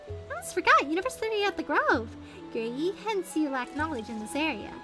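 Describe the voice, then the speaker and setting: A woman voicing a gentle, refined animal-loving scholar from a fantasy game, composed and formal. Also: sits high, very animated, brisk. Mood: kindly, composed. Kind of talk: reading game dialogue aloud. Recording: game music behind the voice.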